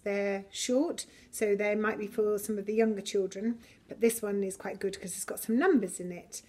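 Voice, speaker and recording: A middle-aged woman reading a picture book to young children in an expressive, deliberate way. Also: mid-range voice. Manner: slow, deliberate, expressive delivery for little children. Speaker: preschool story reader. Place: preschool classroom, indoors. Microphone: camera a short distance away.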